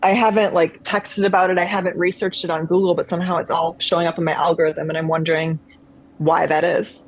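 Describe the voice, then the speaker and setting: A woman's voice: on the low side, little pitch movement, fast talker, rough recording. A woman, a conservatory-trained actress, speaking as a guest on a video call, thoughtful and meandering with frequent restarts. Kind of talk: reflective conversational chat.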